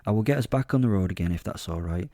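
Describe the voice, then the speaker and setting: A man's voice: on the low side, animated, quick pace, dark tone, dry recording, clean studio sound. A man voicing a courteous, secretly predatory male werewolf in a horror audio drama, speaking casually and teasingly. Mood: considerate, teasing.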